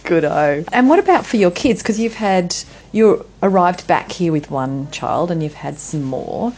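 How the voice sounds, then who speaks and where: A woman's voice: on the low side, animated, unhurried, a little mellow. A woman hosting an interview, asking questions in a friendly, encouraging way. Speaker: podcast host. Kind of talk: interview chat.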